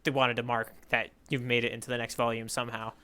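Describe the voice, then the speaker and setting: A man's voice: medium pitch, level tone, fast talker, sharp tone, clear, resonant tone. A man co-hosting a casual manga podcast, chatting in an enthusiastic, rambling way with frequent exclamations. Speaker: podcast co-host, the newcomer reader. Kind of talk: casual chat about a manga.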